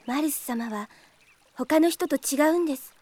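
Actress as a high-voiced, gentle young healer woman. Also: normal speed, dry recording, close to an everyday voice. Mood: earnest, pleading. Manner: soft-hearted, polite, earnest. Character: gentle, earnest young female healer, Lena. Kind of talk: Japanese anime-style radio drama acting.

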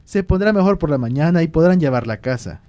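Man doing a calm doctor, pitched up. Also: fast talker, dry recording, natural voice. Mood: reassuring. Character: calm, authoritative village doctor, reassuring. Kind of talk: amateur radio drama acting.

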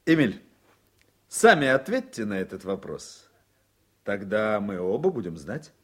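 Husky, reserved young male lawyer. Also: lowish voice, very animated, unhurried. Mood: guarded, brief. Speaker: actor in a radio play. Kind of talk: Soviet-era radio drama acting.

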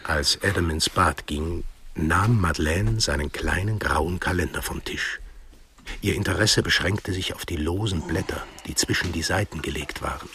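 A man's voice: low-pitched, moderate pace, pushed and bright, a little hiss or hum. A man narrating a scripted radio drama as a storyteller.

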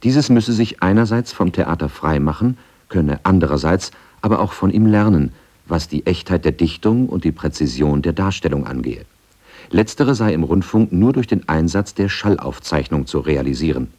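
A man with a low voice narrates a radio feature from a script. His tone is dark and mellow, suited to documentary narration. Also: animated, normal speed.

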